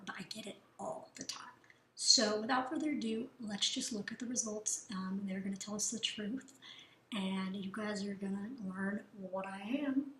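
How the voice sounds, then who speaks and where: A woman's voice: mid-range voice, fairly bright tone, a little hiss or hum, low-quality recording. A woman talking casually to camera in a vlog, loose and chatty with lots of "like" and "um". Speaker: vlogger.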